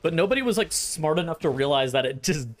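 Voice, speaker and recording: A man with a fairly high voice talks through game strategy in a casual, blunt way. He swears freely and thinks out loud as he explains. His throat sounds a bit worn. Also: animated, quick pace. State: voice tiring, sore throat. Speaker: streamer. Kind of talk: casual gameplay commentary, explaining dungeon strategy.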